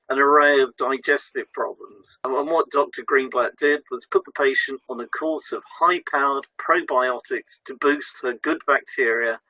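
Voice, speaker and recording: A man's voice: medium pitch, bright, forceful tone, breathy edge, dry recording, poor audio. A man presenting a scripted educational talk as a narrator.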